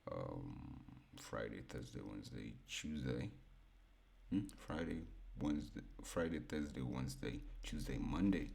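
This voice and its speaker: Young male trading tutor, very low baritone, relaxed, thinks aloud at a desk mic. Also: measured pace, creaky. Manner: laid-back, repeats himself, thinks aloud. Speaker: forex trading educator. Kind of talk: casual screen-share chart breakdown.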